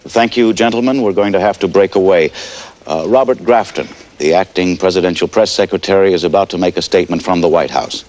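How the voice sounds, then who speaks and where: A man playing a composed television news anchor in a breaking crisis, speaking in a halting, measured way. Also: low voice, darkish tone, lightly acted. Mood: businesslike. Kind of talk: live news bulletin acting.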